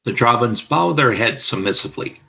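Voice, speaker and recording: Bright, gravelly male narrator. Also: mid-range voice, expressive, a little fast. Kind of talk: scripted narration of radio play stage directions.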